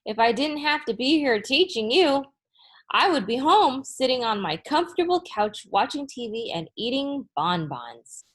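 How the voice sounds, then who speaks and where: A woman's voice: high-pitched, big pitch swings, measured pace, fairly bright tone, pitched above the performer's own voice, heavily acted. A woman reading a children's storybook as a candid, treat-loving woman teacher who is matter-of-fact about her laziness. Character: frank, lazy, treat-loving woman second-grade teacher. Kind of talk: children's storybook read-aloud.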